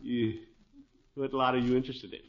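An actor playing a blustery, meddling middle-aged uncle who hems and hams it up in 1950s radio comedy style. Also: male voice, medium pitch, level tone, normal speed, natural voice. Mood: huffy. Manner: blustering, hemming, theatrical. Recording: dull, lo-fi 1950s radio recording.

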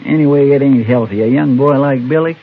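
An actor playing a loyal, folksy American deputy in a radio western, plain-spoken and deferential. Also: male voice, mid-range voice, fairly quick, breathy edge. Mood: earnest, concerned. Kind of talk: old-time radio western acting. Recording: tinny, hissy vintage radio recording.

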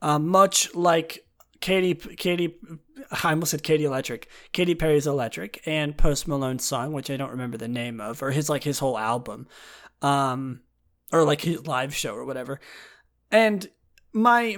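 Man, hoarse raspy throat, slightly high-pitched, rambling casual host, restarts sentences, self-deprecating. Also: lively intonation, normal speed. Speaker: podcast host. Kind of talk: casual solo commentary, partly reading a write-up aloud.

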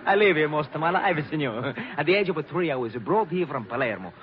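An actor playing an eager, talkative Sicilian taxi driver with an Italian accent and effusive broken English, in a 1940s radio drama. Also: male voice, a little high, animated, quick pace, crowd noise, close to an everyday voice. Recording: muffled 1940s radio recording.

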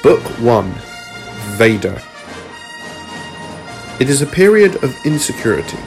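A man's voice: mid-range voice, big pitch swings, measured pace, creaky, a little mellow. A man reading scripted narration for a space fantasy story, as its narrator. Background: music under the voice.

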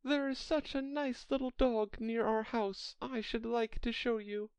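A woman's voice: a little high, lively intonation, slow, darkish tone, no echo, voice pushed up. A woman voicing a polite, chatty little girl in a children's storybook, earnest and apologetic as she rambles on. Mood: eager.

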